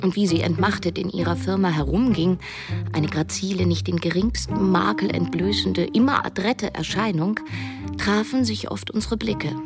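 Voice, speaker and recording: An actress playing a youngish novelist who narrates a radio drama in a measured, wry, literary way. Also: female voice, medium pitch, over music, close to an everyday voice. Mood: reflective, wry. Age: young adult. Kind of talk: scripted first-person radio drama narration.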